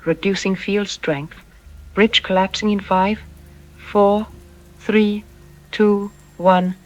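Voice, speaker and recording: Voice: medium pitch, steady tone, slow, lightly acted, poor audio. An actor playing a confident scientist in an audio drama, reporting readings in a clipped, composed, technical manner. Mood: composed, dismissive. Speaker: control room scientist.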